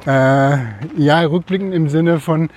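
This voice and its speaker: A man with a somewhat high but warm, mellow voice co-hosts a podcast conversation. He speaks haltingly, restarting phrases as he hunts for the right words. Faint ambient noise lies underneath. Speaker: podcast co-host. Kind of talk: casual conversation.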